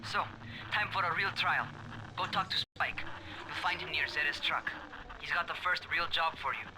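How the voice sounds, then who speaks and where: A man's voice: on the high side, normal speed, noisy background. A man playing a cocky young survivor in a video game, bossy and teasing, informal, heard over a radio. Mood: brisk, commanding. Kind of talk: video game dialogue acting.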